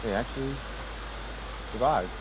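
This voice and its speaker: A man's voice: medium pitch, unhurried, background noise. A man doing casual reaction commentary as a video host, slangy and exclamatory.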